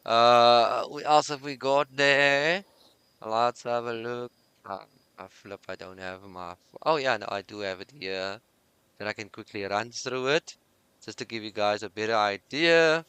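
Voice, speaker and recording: Very clear, dry-voiced man hosting a podcast. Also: mid-range voice, measured pace, no echo. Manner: relaxed, chatty, thinks aloud with fillers. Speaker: podcast host. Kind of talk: casual sports podcast chat.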